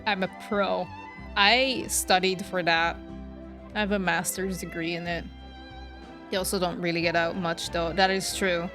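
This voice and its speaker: A woman's voice: fairly low pitch, fairly even intonation, unhurried. A woman streaming a game with casual, chatty, musing reactions, game music playing behind her. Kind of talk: casual live reaction commentary.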